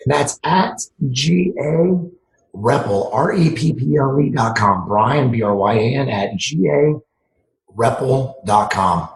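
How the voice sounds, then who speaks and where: A man with a raspy, slightly hoarse voice, talking as a guest on a finance podcast in an animated, enthusiastic way. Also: medium pitch, takes it slowly. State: hoarse from yelling. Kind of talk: casual interview chat. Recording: echoey room, faint noise.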